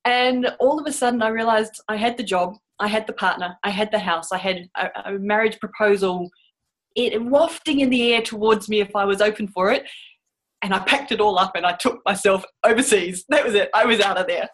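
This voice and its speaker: A middle-aged woman tells her own story as a podcast guest. She speaks in a reflective, candid way with a wry edge, at a middle pitch. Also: fairly quick. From New Zealand and Australia. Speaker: interview guest.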